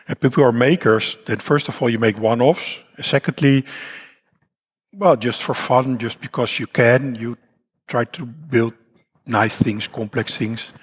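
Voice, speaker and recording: Croaky Dutch man giving a conference talk. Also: medium pitch. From Netherlands. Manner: rambling, self-deprecating, with many fillers and restarts. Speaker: conference speaker. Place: conference hall.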